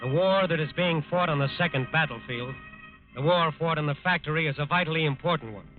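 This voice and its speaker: Solemn higher-pitched male radio narrator. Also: fast talker. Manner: solemn, emphatic. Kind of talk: 1940s radio scripted dramatic narration. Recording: tinny 1940s radio recording with music.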